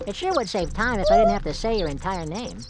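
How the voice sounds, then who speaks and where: A performer voicing a young male cartoon wisecracker who answers everything with deadpan jokes, in a game cutscene. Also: mid-range voice, fast, very noisy. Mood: wisecracking. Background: music.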